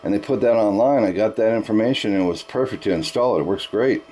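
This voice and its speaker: A man's voice: on the low side, fast talker, dark tone, slight background noise. A man talking casually as an amateur radio operator, explaining things in a chatty way and using radio procedure words. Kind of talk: casual ham radio conversation.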